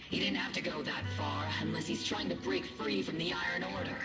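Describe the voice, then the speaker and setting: An actress playing a blunt, suspicious military captain in a video game, questioning pointedly. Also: female voice, deep voice. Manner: pointed, interrogating. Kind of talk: scripted video game drama acting. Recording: echoey, loud music behind.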